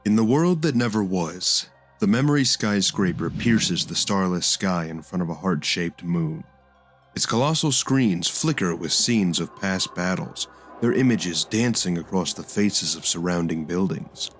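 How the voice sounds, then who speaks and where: A deep-voiced man narrates an audio drama in a scripted, dramatic style. Music plays behind his low voice. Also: lively intonation, unhurried.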